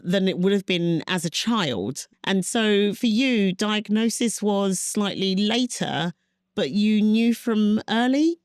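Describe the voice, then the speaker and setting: A woman's voice: mid-range voice, takes it slowly, darkish tone, no echo, studio quality. A woman hosting an interview podcast, asking questions in an encouraging, conversational way. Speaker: podcast host.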